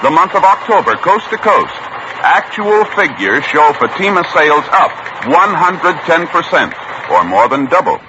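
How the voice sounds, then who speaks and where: A man's voice: fairly high pitch, sing-song, moderate pace. Old radio announcer guy, emphatic, muffled sound. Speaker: program announcer. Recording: dull old radio broadcast with hiss.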